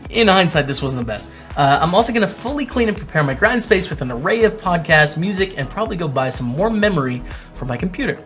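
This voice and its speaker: A man's voice: fairly high pitch, big pitch swings, quick pace, a bit bright, faint music behind. A man hosting a casual vlog, reading written posts aloud and adding wry, chatty asides as he goes. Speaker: host, poker streamer. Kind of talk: casual commentary while reading old blog posts aloud.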